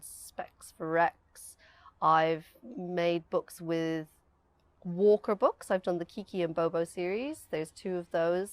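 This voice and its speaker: Low-voiced woman, dry close sound. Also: no room sound. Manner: chatty and self-correcting, with laughs. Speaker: children's author and illustrator. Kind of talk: casual interview talk.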